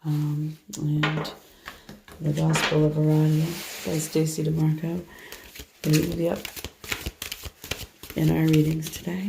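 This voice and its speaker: A hoarse woman chatting through a tarot card reading, soft and meandering as she shuffles and lays out cards. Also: sits low, noisy background. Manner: soft, meandering, half to herself while handling cards. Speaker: tarot reader. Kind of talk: casual tarot reading monologue.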